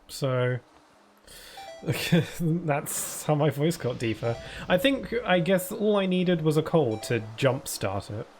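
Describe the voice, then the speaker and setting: A man's voice: on the high side, sing-song, takes it slowly, a little mellow, faint music behind. A man streaming a game live, chatting loosely to viewers with lots of fillers and restarts, his voice what he calls a permanent cold voice. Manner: answers viewer chat aloud. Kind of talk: casual live-stream chat while playing.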